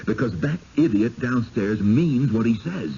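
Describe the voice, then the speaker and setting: An actor playing a skeptical, wary husband in 1970s radio drama style, speaking in an urgent, halting but controlled way. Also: male voice, mid-range voice, animated, warm tone, husky, exaggerated voice. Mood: urgent, conspiratorial. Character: skeptical, wary husband trapped in sinister village. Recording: dull, hissy old radio broadcast.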